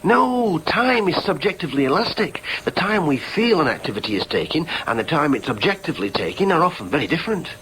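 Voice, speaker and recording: An insecure young man arguing his case in a radio play. His voice is fairly high and leaps about expressively, emphatic one moment and anxiously self-questioning the next. The broadcast recording has clear noise behind it. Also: big pitch swings, a little fast, few pauses, a bit bright, low-quality recording. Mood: argumentative. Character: argumentative, insecure grown son, theorising then doubting himself. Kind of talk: British radio drama acting with inner monologue.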